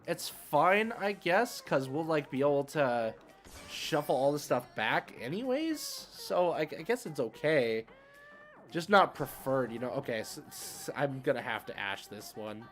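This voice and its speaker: A man's voice: a little high, a bit bright. A man hosting a casual game livestream, thinking aloud in an easygoing, somewhat hesitant way as he plays. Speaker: livestream host and card game player. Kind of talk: casual live game stream commentary.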